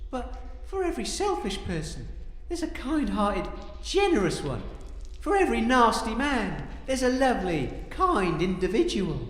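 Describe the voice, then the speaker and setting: A man playing an excitable, kind-hearted, slightly dim hero, earnest and florid, in a comic audio drama. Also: very high voice, normal speed, reverberant, big-room sound. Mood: earnest, soothing.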